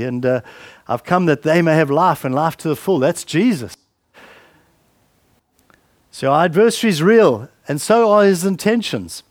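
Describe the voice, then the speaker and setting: A man's voice: a little high, no echo. Rambling, conversational male preacher. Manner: rambling, conversational, with many fillers and asides. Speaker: pastor. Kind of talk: sermon. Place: church hall. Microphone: handheld mic with a weak battery.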